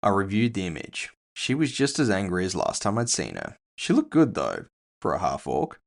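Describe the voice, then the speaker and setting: A man's voice: low-pitched, huge pitch swings, moderate pace, creaky. A man narrating scripted first-person fiction with a wry storytelling manner.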